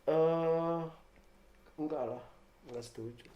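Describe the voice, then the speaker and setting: Male guest, Jakarta accent, fairly high voice, casual and jokey, echoey room recording. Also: takes it slowly, mellow, dull audio. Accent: Jakarta Indonesian. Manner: slangy, joking. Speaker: guest answering questions. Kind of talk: casual comedic chat. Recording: roomy, echoey indoor sound.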